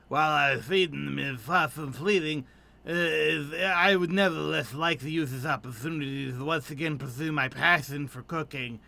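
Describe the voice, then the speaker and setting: A man's voice: a little high, vocal fry, bright, forceful tone, pitched below the performer's own voice, full character voice. A man voicing an earnest former chef, a game villager, reading formal dialogue aloud with some stumbles.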